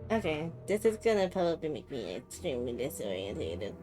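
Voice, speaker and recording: A woman streaming a video game, commenting casually and thinking aloud in a relaxed way. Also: low voice, brisk. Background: game music.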